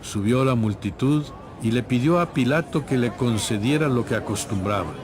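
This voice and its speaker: A man's voice: mid-range voice, expressive, moderate pace, a crowd in the background. A man reading scripted, dramatized Bible narration. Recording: music bed behind narration.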